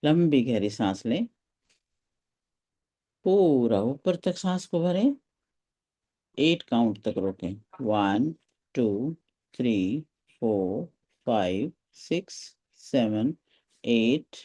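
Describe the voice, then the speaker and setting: Meditation guide, really really slow n gentle, mellow relaxed voice, short phrases w pauses. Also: medium pitch. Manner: gentle, unhurried, pausing between short phrases.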